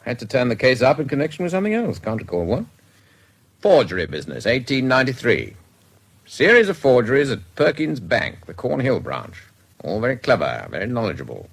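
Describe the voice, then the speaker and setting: An actor playing a jovial, brisk British police records man in a radio drama, chatty and clipped in his delivery. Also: mid-range voice, wildly swooping pitch, gravelly, breathy edge, heavily acted. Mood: brisk, helpful. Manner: chatty, clipped sentences with bursts of amusement.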